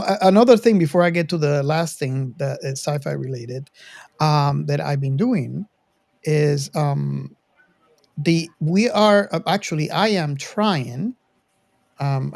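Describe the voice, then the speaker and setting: A man with a fairly high voice hosts a casual hobby podcast. He meanders and pauses, leaning hard into the start of his sentences. The sound is very dry, with no room around it. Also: creaky, a little mellow, no echo. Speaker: podcast host.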